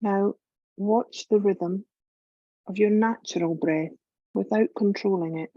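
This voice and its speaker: A woman leading a guided meditation as a yoga teacher, calm and measured, giving gentle instructions with long pauses. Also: mid-range voice, no room sound, lo-fi sound.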